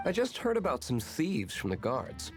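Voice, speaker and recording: An actor playing a cool, guarded young tavern owner in a video game, speaking in a reserved, businesslike way. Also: male voice, mid-range voice, huge pitch swings, moderate pace. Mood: appraising. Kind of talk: video game cutscene acting. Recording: game music behind the voice. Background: background music.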